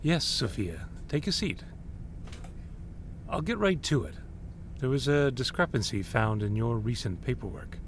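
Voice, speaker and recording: An actor playing a cold male superior, calmly and accusingly questioning a subordinate. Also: mid-range voice, slight background noise. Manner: measured, accusatory. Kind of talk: audio drama acting.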